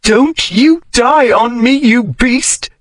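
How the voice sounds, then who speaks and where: Guy doing a high strained young regency lady, headstrong, scolding and pleading, dry close read. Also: slow, no echo. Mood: desperate pleading.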